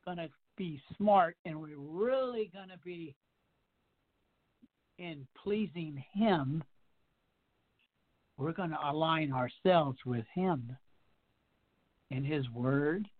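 A man in his sixties preaching a radio sermon, stacking up repeated phrases and emphatic rhetorical questions. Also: a little high. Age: 60s. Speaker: pastor. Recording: tinny phone line.

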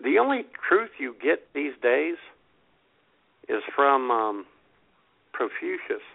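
A man's voice: medium pitch, sing-song, slow pace, gravelly, slightly rough. A man co-hosting a podcast over a cordless phone, trading dry puns and jokes. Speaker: podcast co-host. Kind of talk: casual comedic chat. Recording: tinny phone line.

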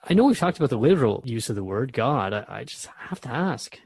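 Inquisitive male radio host played by a man, mid-pitched, husky edge, conversational, thoughtful, dry studio sound, radio drama. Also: very animated, fairly quick, darkish tone, no room sound. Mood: questioning. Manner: inquisitive, conversational, hesitant asides. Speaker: radio host interviewing the Keeper.

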